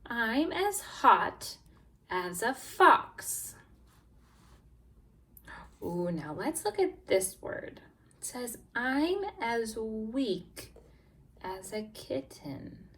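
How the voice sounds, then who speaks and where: A woman teaches young language learners through a picture-book read-aloud, speaking very slowly and deliberately. Her highish voice swings up and down with exaggerated storytelling expression. She stresses key words and encourages listeners to answer along. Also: very animated, a bit bright. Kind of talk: read-aloud lesson for young children, with questions and prompts.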